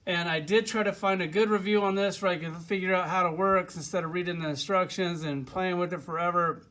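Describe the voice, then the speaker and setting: A man's voice: high-pitched, fairly even intonation, a little fast, fairly bright tone, rough recording. A man giving a casual, opinionated product review in an informal, rambling way. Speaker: toy reviewer.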